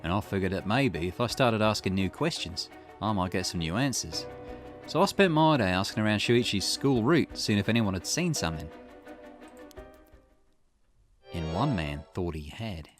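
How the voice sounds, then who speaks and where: A man's voice: on the low side, lively intonation, moderate pace, soft background music, natural voice. A man voicing a shrewd, self-assured sleuth in a visual novel, explaining with teasing confidence. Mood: sly.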